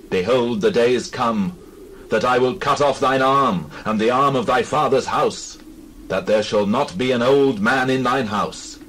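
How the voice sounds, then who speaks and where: Stern prophet for Bible audio drama: low, solemn, declamatory, birds and noise behind. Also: male voice, a bit bright, rough recording. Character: stern holy prophet delivering God's judgment.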